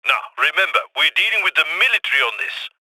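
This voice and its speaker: Video game heist planner, chipper and wry, high piercing voice, theatrical, radio filter. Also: male voice, sing-song, fast, pushed and bright, breathy edge, no echo, exaggerated voice, rough recording. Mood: wry, confident. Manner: playful, theatrical, instructive. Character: chipper, wry male heist planner guiding his crew. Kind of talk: video game mission voice lines.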